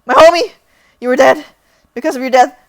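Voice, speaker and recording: A woman's voice: high voice, brisk. Woman voicing a raving disheveled aunt. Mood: frantic. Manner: shouting, agitated. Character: frantic disheveled middle-aged aunt, raving.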